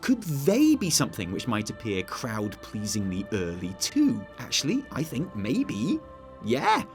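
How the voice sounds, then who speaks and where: A man's voice: medium pitch, wildly swooping pitch, a little slow, soft background music. A man co-hosting a scripted gaming news show, wry and playful, questioning himself as he goes. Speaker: co-host.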